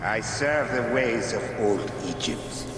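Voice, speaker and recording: An actor playing a cruel, contemptuous villainous priest in a video game, pompous and menacing. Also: male voice, medium pitch, lively intonation, slow. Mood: cold contempt. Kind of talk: dramatic video game acting. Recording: cavernous long echo, clear noise.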